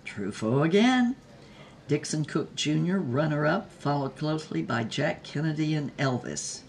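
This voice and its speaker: A woman's voice: deep voice, expressive, measured pace, a little mellow, a little hiss or hum. An actress playing an irreverent, wise former Hollywood starlet in her nineties, with a wry, salty, theatrical delivery in an audio drama. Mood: playful, fond. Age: 90s, as played. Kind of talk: audio drama monologue, reflective storytelling.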